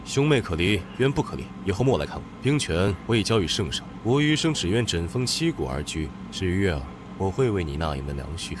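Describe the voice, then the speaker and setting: A curt, cold young general, voiced by a man, low-pitched but swinging wide in pitch. Steady noise runs beneath him. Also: a little fast, hardly any pauses. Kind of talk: animated drama dubbing.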